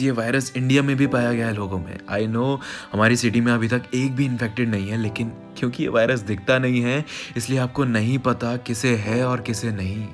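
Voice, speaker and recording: A man's voice: mid-range voice, lightly acted. An Indian man voicing an anxious, caring young woman in a story, insistent and pleading with her boyfriend. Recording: voice over background music.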